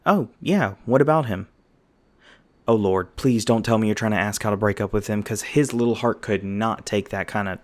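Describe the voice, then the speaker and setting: A man's voice: fairly low pitch, normal speed, natural voice. A man voicing a loyal young mage on lookout in an ASMR roleplay, talking in a casual, wry, reassuring way. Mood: easygoing, wry. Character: loyal, chatty young mage lookout, protective old friend.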